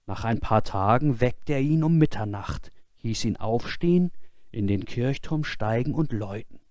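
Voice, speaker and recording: A man narrating a fairy tale from a script in an expressive, deliberate storybook style. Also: medium pitch, dry recording, clean studio sound.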